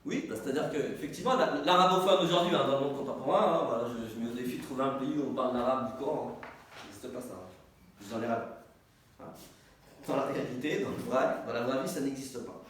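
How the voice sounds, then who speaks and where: A man's voice: medium pitch, quick pace. A man whose first language is Arabic, teaching a small group informally; he repeats words as he searches and asks his own questions, then answers them. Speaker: teacher, institute director. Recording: echoey room, distant mic, faint noise.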